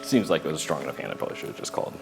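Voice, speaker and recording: A very low-voiced man makes casual table talk as a player. His pitch moves around in a lively way, and music plays clearly over the scene. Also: rapid-fire.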